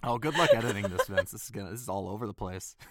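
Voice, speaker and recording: A man's voice: lowish voice, animated, very fast, a crowd in the background. A man voice actor from Michigan, joking and laughing often in a casual remote chat. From Michigan, United States. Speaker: voice actor guest. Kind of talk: casual video-call chat.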